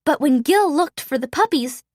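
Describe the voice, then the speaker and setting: A woman narrating a children's read-aloud story expressively, phrasing it clearly for young listeners. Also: high-pitched, expressive, moderate pace, dry recording, clean studio sound.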